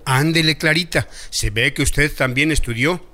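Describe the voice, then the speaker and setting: Bright-voiced instructive Mexican doctor. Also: male voice, fairly high pitch, moderate pace. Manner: didactic, respectful. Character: friendly instructive male doctor teaching village women. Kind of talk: educational radio drama, explanatory.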